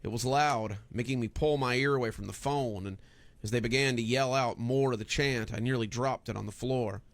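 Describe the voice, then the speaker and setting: Male horror narrator, gravelly creak, dry close recording, scripted first-person storytelling. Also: mid-range voice, normal speed, no room sound.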